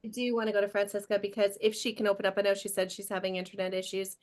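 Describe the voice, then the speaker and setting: A woman's voice: medium pitch, flat delivery, racing, a little mellow. A woman hosting a video call, enthusiastic and encouraging as she introduces a guest. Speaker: host.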